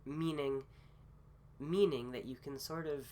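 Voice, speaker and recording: A man recovering from a cold gives a casual philosophy talk with many pauses, fillers and restarts. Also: fairly high pitch, steady tone, mellow, slight background noise. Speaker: host.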